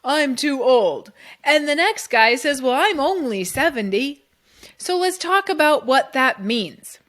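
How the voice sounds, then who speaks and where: A woman's voice: high-pitched, lively intonation, measured pace, a bit bright. A middle-aged woman hosting her own podcast, explaining insurance in a casual, emphatic way and quoting clients along the way. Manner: emphatic, conversational, quotes clients in asides. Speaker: podcast host and insurance advisor. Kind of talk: casual solo podcast talk.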